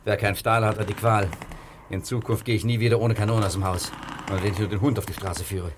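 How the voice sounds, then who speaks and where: An actor playing a cheeky, unflappable gentleman gangster with a wry, flippant manner in a 1950s radio crime satire. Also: lowish voice, steady tone, quick pace, lightly acted. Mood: excited, wry. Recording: old radio recording, loud noise and clicks.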